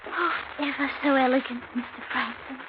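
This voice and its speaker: A woman's voice: sits high, fairly even intonation, moderate pace, lightly acted. A woman playing a strange, timid, nervous housemaid in an old radio drama. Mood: flustered, shy. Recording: tinny, noisy old radio recording.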